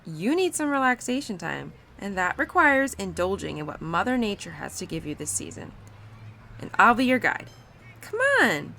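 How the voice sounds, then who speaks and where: A woman voicing a young female spirit of autumn in an intimate audio roleplay, caring and expressive, playful and easily excited. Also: mid-range voice, normal speed, gravelly, slight background noise, heavily acted. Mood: excited, bossy. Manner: expressive, bubbly, giggly, naive about humans.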